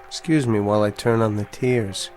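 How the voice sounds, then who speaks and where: A man's voice: lowish voice, normal speed, a little mellow, faint music behind, lightly acted. An actor playing a cocky, sarcastic younger wise guy who talks in wisecracking street slang in a screen drama.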